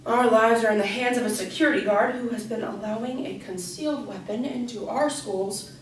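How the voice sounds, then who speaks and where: Intense, falsely sweet female grade-three teacher acted by a woman, stage monologue to children, dramatic pauses, heard from afar in a reverberant room. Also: on the high side, natural voice. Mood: urgent, alarmed. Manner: over-enunciated, addressing children, dramatic pauses. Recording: echoey room, distant mic, faint noise.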